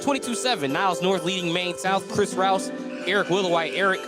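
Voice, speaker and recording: High-voiced American man calling basketball play-by-play. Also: brisk, loud music behind the voice. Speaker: play-by-play sports announcer. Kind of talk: live radio basketball play-by-play commentary.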